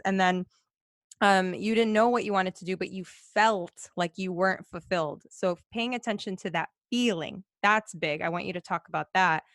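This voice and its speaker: A woman's voice: fairly low pitch, no echo, studio quality. A woman hosting a podcast, chatty and enthusiastic, swearing casually as she responds to her guest. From United States. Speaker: podcast host.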